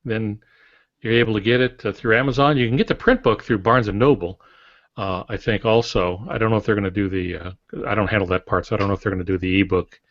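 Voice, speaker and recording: An older man co-hosting a podcast, explaining things in a deliberate, teacherly way. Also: fairly low pitch, fairly even intonation, a bit bright. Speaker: podcast co-host and novelist. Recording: dull, roomy lo-fi audio.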